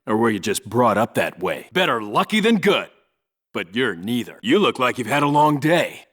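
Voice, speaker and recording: A man's voice: mid-range voice, big pitch swings, normal speed, a bit bright. A man voicing a tough, disciplined special forces squad leader in a military video game, clipped and authoritative. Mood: cocky, satisfied. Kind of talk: military video game voice lines, clipped radio reports and orders.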